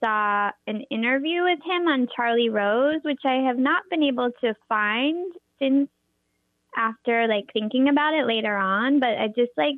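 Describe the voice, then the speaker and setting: A young woman with a high voice, a performer and teacher, talking in an interview with lots of hesitant fillers and restarts. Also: normal speed, dry recording. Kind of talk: interview conversation. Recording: tinny phone-like line, dry.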